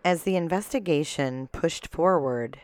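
A woman's voice: deep voice, unhurried, a little mellow, no room sound, studio quality. A woman hosting a podcast, reading scripted true-crime narration. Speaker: podcast host.

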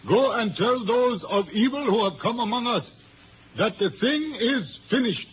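An actor playing a grave older Chinese tong leader in a 1950s radio drama, speaking in formal, measured phrases. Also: male voice, extremely high pitch, expressive, music in the background. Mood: solemn, commanding. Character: solemn Chinese elder, restaurateur and tong leader. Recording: muffled, noisy 1950s radio recording.

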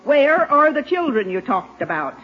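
A woman's voice: high-pitched, big pitch swings, moderate pace, background noise. An actress playing a pushy, suspicious middle-aged woman, insistent and domineering, in a 1950s radio comedy style. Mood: suspicious, accusing. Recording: muffled vintage 1950s radio recording.

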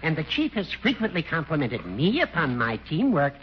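A man's voice: a little high, wildly swooping pitch, normal speed. An actor playing a sly, teasing judge in a 1940s radio sitcom, needling his rival with a smug cackle. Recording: dull old radio broadcast with faint hiss.

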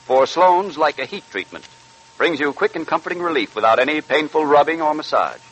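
A man's voice: fairly high pitch, lively intonation, brisk, fairly bright tone, husky, poor audio. An American man announcing on 1940s radio in a polished, scripted advertising style. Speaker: radio announcer reading a sponsor ad.

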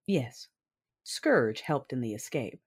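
An actress playing an intense, coolly confident Sith warrior woman, narrating a sci-fi audio drama in a husky, measured voice over music. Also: rumbling low, lively intonation, exaggerated voice. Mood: brooding, wry. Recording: music under the voice.

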